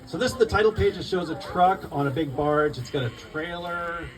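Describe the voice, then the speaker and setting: A man reading his picture book aloud at a live event, expressive and rhythmic in the rhymes, with informal asides to listeners. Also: a little high, fairly quick. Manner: expressive, rhythmic storytelling of rhyming verse. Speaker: children's book author reading his own book. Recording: distant mic, noisy live room.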